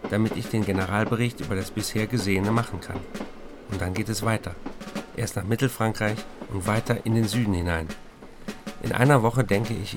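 A man's voice: lowish voice, lightly acted. An actor playing a self-assured, upbeat German writer reading his letters home in a radio play, in a confiding way.

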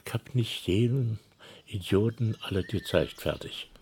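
An actor playing a gruff older village man in a radio play, blunt, coarse and patronising, with a quick temper. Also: fairly low pitch, a little mellow, slight background noise. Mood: angry, contemptuous. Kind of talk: naturalistic German radio play dialogue.

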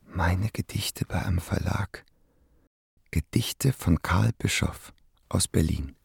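A doubting young poet in a radio drama, a very husky man with a low, creaky voice. He speaks slowly and hesitantly, muted and questioning. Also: no echo, clean studio sound, heavily acted. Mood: disbelieving, modest. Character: Carl, reticent doubting young male poet and bricklayer. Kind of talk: German radio play dialogue.

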